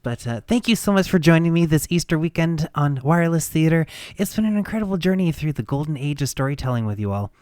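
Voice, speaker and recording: A man's voice: a little high, expressive, quick pace, a little mellow, no room sound, clean studio sound. A man hosting a radio show in a casual, chatty style.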